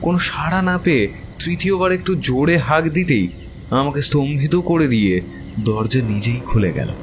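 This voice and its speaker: A man playing a young, haunted and infatuated traveler, narrating a horror audio story in a theatrical, literary manner with dramatic pauses. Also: a little high, noisy background, natural voice. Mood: uneasy, puzzled. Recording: voice over loud background music. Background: prominent music bed.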